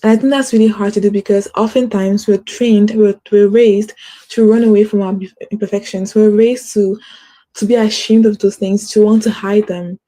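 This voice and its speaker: Mellow-voiced woman host who stutters. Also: mid-range voice, fairly even intonation, normal speed, rough recording. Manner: stutters, uses many fillers; earnest, encouraging. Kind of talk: casual motivational talk.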